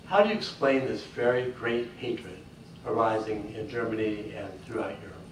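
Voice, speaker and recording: A man's voice: on the low side, moderate pace, background noise. Man audience member, polite and formal, heard from afar in an echoey room. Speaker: audience member asking a question. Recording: echoey hall, distant mic.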